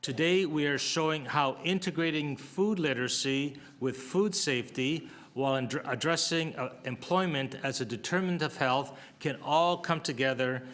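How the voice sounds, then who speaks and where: A man's voice: fairly high pitch, moderate pace, few pauses, fairly bright tone. A man presenting a public health program at a city board meeting, reading prepared remarks with occasional fillers and restarts. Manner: reads prepared text with fillers. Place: council meeting room.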